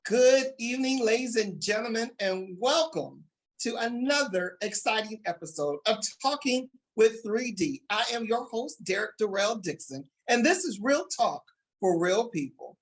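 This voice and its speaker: Excited male talk show host. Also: high-pitched, normal speed, rough recording. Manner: excited, emphatic, addresses the audience. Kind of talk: upbeat show hosting.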